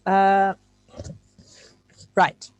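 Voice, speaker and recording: A woman's voice: mid-range voice, monotone, extremely slow, clean tone, dry recording, poor audio. A woman from Czechoslovakia, a native Czech speaker, giving an informal talk over a video call, hesitant and full of fillers and restarts. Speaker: guest speaker.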